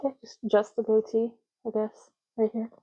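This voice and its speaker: A soft-spoken woman hosting an ASMR roleplay, talking in an unhurried, rambling way as if privately to the listener. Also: a little high, completely flat delivery, husky, highs cut off.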